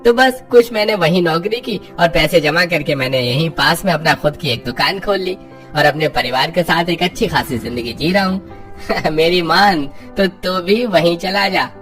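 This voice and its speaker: A man's voice: high-pitched, expressive, normal speed, fairly bright tone, soft background music. Man acting a prosperous, advice-giving cartoon friend. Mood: persuasive. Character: prosperous adult male friend, once a poor labourer, advising. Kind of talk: scripted cartoon story dialogue.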